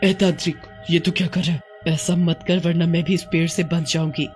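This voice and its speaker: A woman's voice: on the low side, steady tone, fairly quick, darkish tone, faint music behind, lightly acted. A woman voicing a greedy, possessive witch in a cartoon horror story, with an indignant manner. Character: greedy, possessive female witch obsessed with mangoes.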